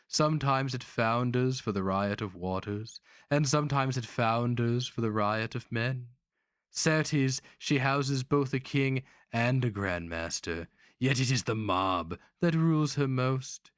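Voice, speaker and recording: A man's voice: medium pitch, clear, resonant tone, no room sound, clean studio sound, pitched above the performer's own voice, lightly acted. A man voicing a haughty, contemptuous monstrous sorcerer grand master in archaic, formal speech for a fantasy story.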